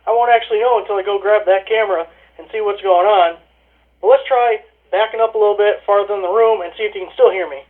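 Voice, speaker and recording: A man's voice: high-pitched, fast talker. A man talking casually to viewers as he tests a product, heard through an intercom speaker. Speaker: product reviewer. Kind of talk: casual test talk to viewers. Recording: tinny, phone-like intercom speaker sound.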